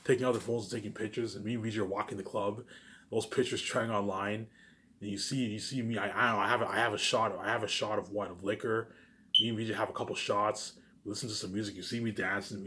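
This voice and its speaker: A man's voice: fairly low pitch, steady tone, fast talker, sharp tone, slight background noise. A man in a rambling informal monologue to viewers, boastful and circling back over the same phrases. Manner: boastful, self-aggrandizing.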